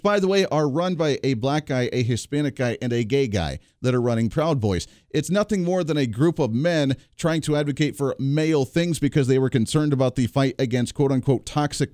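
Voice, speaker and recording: A male radio host delivers political commentary in an opinionated, sarcastic way, tossing in quick asides. His voice sits at a middle pitch. The recording is dry and close, with no room sound. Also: darkish tone, no echo. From Kansas area, United States. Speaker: radio talk show host.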